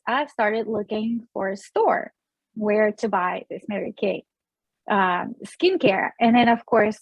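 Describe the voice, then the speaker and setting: A woman's voice: medium pitch, slightly rough, no room sound, rough recording. A woman in her forties from Russia with a Russian accent, chatting as an interview guest, with many fillers and restarts. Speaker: guest, sales director. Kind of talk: casual interview.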